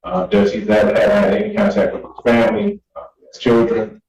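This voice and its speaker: A man's voice: mid-range voice, sing-song, a little fast, noisy background. A man arguing to a judge as a defense attorney, hesitant and deferential, repeating phrases, in an echoey courtroom. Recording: very echoey courtroom, somewhat distant mic.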